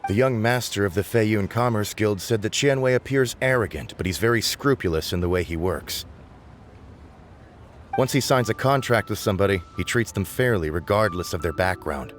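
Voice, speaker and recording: A man's voice: lowish voice, normal speed, a little hiss or hum, close to an everyday voice. A man playing a cocky, boastful young informant in a video game, with a smug, theatrical delivery. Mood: informative. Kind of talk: video game character dialogue.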